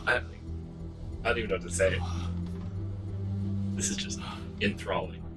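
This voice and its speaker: A man's voice: low voice, fairly even intonation, moderate pace, over loud music. A man chatting casually as a co-host reacting to a game, agreeable and tentative in phrasing. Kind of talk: casual chat.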